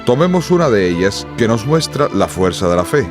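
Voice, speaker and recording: A man's voice: on the low side, big pitch swings, fairly quick, over music. A man narrating a radio drama from a script in a formal, dramatic storytelling manner.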